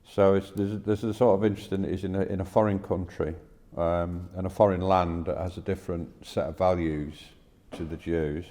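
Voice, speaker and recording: Very deep, mellow man in reverberant room. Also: fairly even intonation. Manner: halting, tentative musing. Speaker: podcast co-host. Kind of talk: scripture reading and informal discussion. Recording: reverberant room with long echo.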